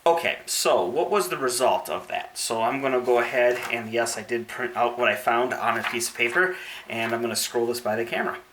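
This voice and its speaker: A man's voice: mid-range voice, fairly quick, fairly bright tone, slight background noise. A man giving a casual hands-on product review, explaining each step methodically and checking in with the listener as he goes.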